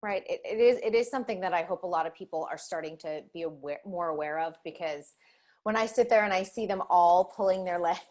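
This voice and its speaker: A woman's voice: fairly low pitch, quick pace. A woman interviewing a guest in a chatty, casual way, laughing easily. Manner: chatty, laughs often, animated asides.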